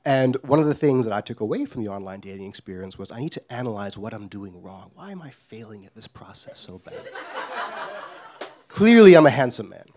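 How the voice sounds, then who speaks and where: Middle-aged man from Guyana, wry live storyteller, mid-pitched and self-mocking. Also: lively intonation, a little fast, background noise.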